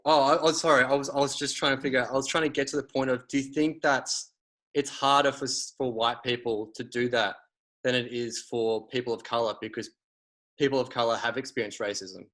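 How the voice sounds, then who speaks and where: A man's voice: mid-range voice, steady tone, a little fast, a bit bright. A man, hosting a casual conversation and rambling with many fillers and restarts.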